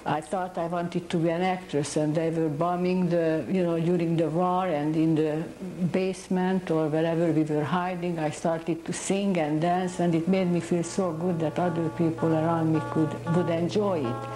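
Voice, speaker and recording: A painter from Hungary, a woman speaking English with a Hungarian accent, talks about her art in a halting, searching way. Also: sits low, flat delivery, dark tone, roomy sound, faint music behind, low-quality recording. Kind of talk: interview monologue.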